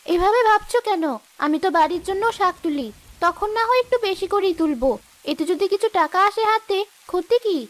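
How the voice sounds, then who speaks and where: A woman's voice: very high voice, brisk. A woman voicing a poor, honest and determined village wife in a cartoon story, earnest and plaintive. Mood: firm, earnest. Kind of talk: Bengali cartoon story dialogue.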